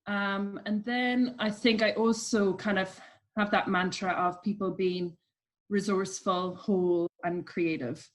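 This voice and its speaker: A woman with an Irish accent giving reflective, explanatory answers as a guest in an interview, pausing as she phrases her thoughts. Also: medium pitch, steady tone. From Ireland. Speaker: interview guest, coach at a business charity.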